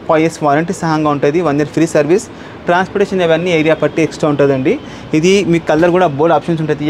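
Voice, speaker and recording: A man in his thirties with a Hyderabad Telugu accent gives an unscripted furniture sales pitch, insistent and repetitive, peppered with English words. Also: darkish tone. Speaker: furniture shop owner and salesman. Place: large indoor furniture showroom. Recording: clear background room noise.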